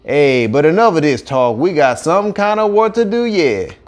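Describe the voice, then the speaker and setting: A Cajun storybook Santa played by a man, hearty and resolute, calling out to his team. His accent is Cajun and his pitch rises and falls broadly. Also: very animated, normal speed. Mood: pleased, businesslike. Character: Cajun Santa Claus, hearty, determined leader of his gators.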